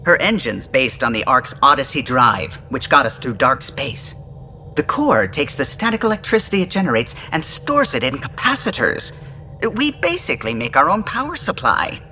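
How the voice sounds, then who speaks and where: A proud male starship engineer in a video game. His voice is bright and swings animatedly as he speaks with fussy technical precision. Music plays behind him. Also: mid-range voice, sing-song, moderate pace. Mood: enthusiastic, fussy. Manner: precise, enthusiastic about technical detail. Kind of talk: video game dialogue acting.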